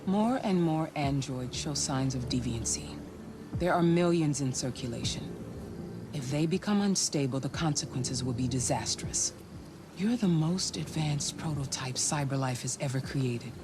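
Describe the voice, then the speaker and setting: An actress playing a cool, composed female handler who speaks in a measured, authoritative way, with game music behind. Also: a bass voice, expressive. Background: game score music.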